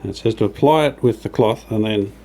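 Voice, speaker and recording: Guy doing a hands-on diy vlog, lowish mellow voice, kinda tentative, noisy background w/ rubbing and sanding. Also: fairly quick. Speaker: host of a hobby radio restoration channel. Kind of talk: casual hands-on workshop narration while working. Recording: clear background noise, sanding and rubbing sounds. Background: rubbing and sanding while working.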